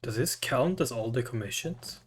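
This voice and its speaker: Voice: mid-range voice, expressive, moderate pace, breathy edge, soft background music. A game streamer reacting casually in brief, laid-back interjections during a livestream.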